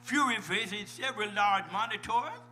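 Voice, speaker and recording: Man doing a high, goofy folksy cartoon narrator. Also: moderate pace, close to an everyday voice. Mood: amused, folksy. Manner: colloquial, rambling, amused. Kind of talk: comic narration in a live table read.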